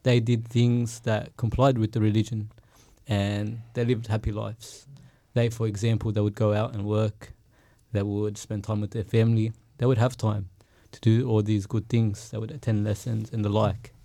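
A young man on a podcast panel who speaks earnestly and reflectively, at a steady pace. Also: fairly low pitch, moderate pace, no echo. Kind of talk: casual panel discussion.

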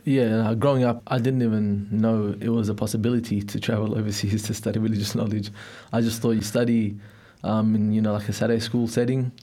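A man's voice: fairly low pitch, little pitch movement, brisk, hardly any pauses, warm tone. A young man from Melbourne, answering interview questions in a reflective, hesitant way in a studio. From Melbourne, Australia. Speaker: interview guest.

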